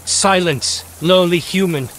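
A man's voice: high-pitched, slow, a bit bright, a little hiss or hum, voice pushed up, rough recording. A man voicing a male elf leader in a fantasy audiobook, cold, pompous, melodic and intimidating. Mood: cold, commanding.

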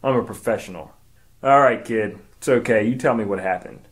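A man's voice: on the low side, a little fast, pitched below the performer's own voice, natural voice. A man playing a self-important, stern investigator questioning schoolchildren with melodrama in a comedic radio drama. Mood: stern, pompous.

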